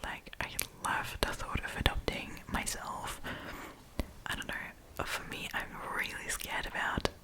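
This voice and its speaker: A woman whose first language is German, speaking with an Australian accent, chatting in a whispered ASMR storytime with many "like" fillers and pauses to search for words.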